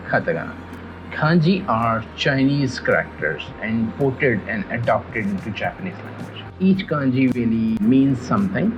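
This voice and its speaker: A man's voice: mid-range voice, muffled recording. A man from Pakistan whose first languages are Urdu and Punjabi, earnestly teaching a casual beginners' video lesson and often repeating himself.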